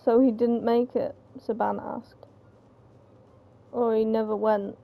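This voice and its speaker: A woman reads a story aloud in an informal, halting way. Her voice sits fairly high with plenty of creak. The microphone is slightly muffled. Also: flat delivery. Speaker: reader of the narration. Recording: slightly muffled mic, faint background noise.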